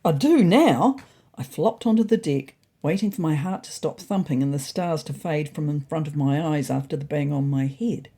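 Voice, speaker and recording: A narrator reading a scripted first-person animal story in an expressive, wryly dramatic way. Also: medium pitch, moderate pace, few pauses.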